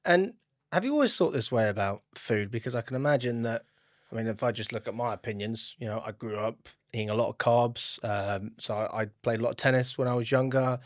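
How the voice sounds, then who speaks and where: Male podcast host, mid-pitch, dry close sound, loose conversational questions. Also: brisk, no echo. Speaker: interviewer host. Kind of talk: casual interview chat.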